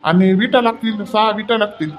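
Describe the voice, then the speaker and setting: A man playing a brisk, bossy village husband in an animated family comedy. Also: sits high, a little hiss or hum, lightly acted. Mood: businesslike.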